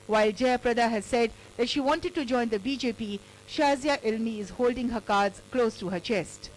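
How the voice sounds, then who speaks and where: A woman reporter with an Indian accent narrates a scripted news package in a fairly high voice. There is a faint hum behind her. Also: moderate pace, rough recording.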